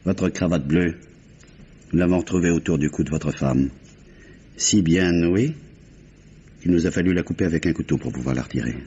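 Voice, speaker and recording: A man's voice: low voice, moderate pace, long pauses, mellow, lightly acted. An actor playing a stern, insistent policeman with a curt, controlled, probing manner, in old French radio mystery style. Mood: cold, probing. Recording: dull, noisy vintage radio recording.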